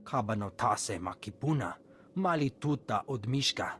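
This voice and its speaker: A man's voice: medium pitch, lively intonation, moderate pace, a bit bright, faint music behind, natural voice. A man voicing an earnest alien informant in a video game. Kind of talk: game alien acting in invented tongue.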